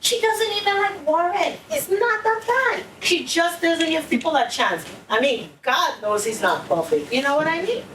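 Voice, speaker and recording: Picked up from far off in an echoey room, a woman plays an exasperated Caribbean granddaughter on stage. Her voice is high, her manner argumentative and defensive. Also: animated, normal speed, hardly any pauses, a bit bright. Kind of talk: stage play acting. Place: indoor stage, mic far from actors. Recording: roomy, distant stage recording with noise.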